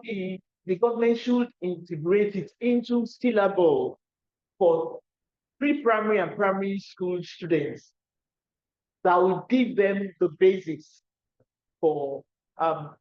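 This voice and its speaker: Slow, hesitant session chair, lo-fi sound. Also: medium pitch, a little mellow. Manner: hesitant, with frequent fillers. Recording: dull, lo-fi conference recording.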